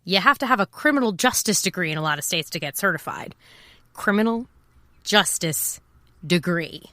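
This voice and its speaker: An actress playing a wry, impulsive private eye, talking in a sardonic, confiding way in an audio drama. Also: female voice, lowish voice, lively intonation, normal speed, sharp tone, dry recording. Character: wry, impulsive female private eye, over twenty-seven. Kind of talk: first-person noir audio drama narration and dialogue.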